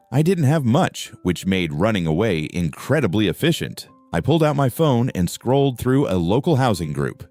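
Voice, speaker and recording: A very deep young male narrator tells a first-person story with wide, expressive pitch. He sounds introspective and wry, and faint music runs beneath him. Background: soft music.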